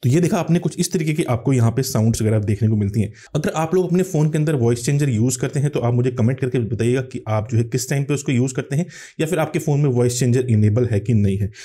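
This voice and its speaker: A man's voice: mid-range voice, fast talker, hardly any pauses, mellow, husky. A man hosting a tech channel, explaining phone features step by step in tutorial commentary.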